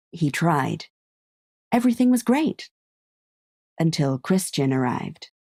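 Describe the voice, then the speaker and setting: A woman reads a scripted story with a measured, understated delivery. Her voice is low and soft-toned, and she takes her time. It is recorded close and dry. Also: slightly rough, dry recording. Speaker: narrator.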